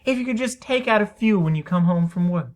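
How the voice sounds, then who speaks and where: Storybook housewife, male reader: high, folksy, naive, kindly, chatty, not too clever. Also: expressive, a little fast. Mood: sympathetic, coaxing. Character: simple-minded, kindly, folksy average housewife.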